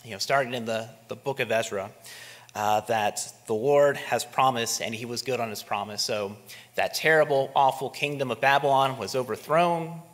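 A man in his thirties preaches at a pulpit microphone. His pitch sits in the middle range for a man. He talks to the congregation conversationally and poses rhetorical questions, and his voice carries a long reverb through the church hall. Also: moderate pace, a bit bright. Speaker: pastor. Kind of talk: sermon. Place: church sanctuary, at a wooden pulpit.

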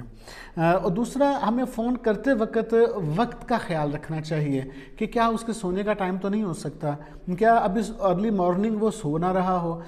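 High-voiced Pakistani man giving advice. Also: darkish tone. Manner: conversational, explanatory, mixes in English words. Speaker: vlogger, journalist and columnist. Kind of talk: informal advice monologue to camera. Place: small quiet room indoors, at a desk.

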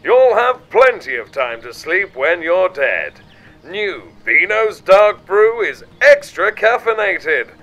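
An actor playing a brash advert announcer in a parody commercial voice-over. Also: male voice, sits high, big pitch swings, sharp tone, muffled recording, exaggerated voice. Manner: hard sell, then rapid muttered disclaimers.

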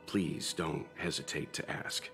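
A middle-aged gentleman traveler in an anime-style game. His deep voice is calm, measured and courteous, wise in tone, with music softly behind. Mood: calm, polite.

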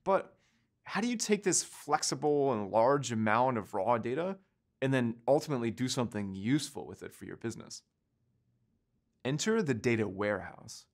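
A man's voice: medium pitch, lively intonation, moderate pace. A man in his thirties presenting a scripted online course lecture, explaining each point while gesturing with both hands in a studio. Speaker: course instructor.

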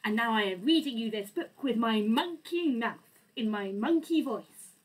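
A woman's voice: on the high side, expressive, normal speed. A woman voicing a silly, boastful robot monkey in a children's picture book, with playful exaggeration. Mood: silly, proud.

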